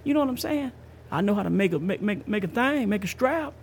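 A man's voice: high voice, lively intonation, fairly quick, gravelly, a little hiss or hum. A man in his fifties hosting a podcast, giving a casual personal testimony in an emphatic, slangy way, often repeating himself. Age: 50s. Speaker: podcast host.

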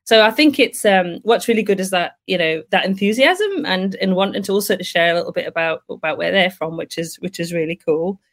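A woman hosting a podcast, talking loudly and chattily with many fillers over a video call. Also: fairly low pitch, expressive, fairly quick, few pauses. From Britain. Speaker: podcast host. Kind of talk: casual live chat on a video call.

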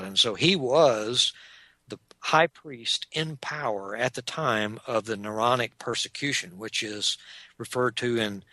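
A man with a Texan accent giving an unscripted lecture on church history, measured and explanatory, often pausing on "uh". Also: mid-range voice, sharp tone, dry recording. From Texas. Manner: measured and explanatory, with frequent "uh" pauses. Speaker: Bible teacher.

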